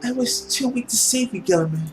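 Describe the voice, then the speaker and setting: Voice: mid-range voice, animated, fast, soft background music, voice pushed up, close to an everyday voice. A performer voicing a resolute, sorrowful woman servant pleading with her master in a dramatic visual novel reading. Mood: sad.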